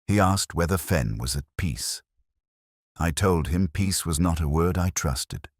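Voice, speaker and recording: A man playing a weary, brooding police inspector who narrates a mystery in a measured, literary, melancholy manner. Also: sits low, no echo, studio quality. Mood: grave, pensive. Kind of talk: scripted first-person mystery narration.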